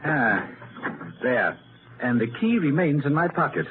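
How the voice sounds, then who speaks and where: An actor playing an earnest, formal ghost researcher in a 1930s radio drama. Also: male voice, a little high, big pitch swings, unhurried. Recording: scratchy, tinny 1939 radio broadcast.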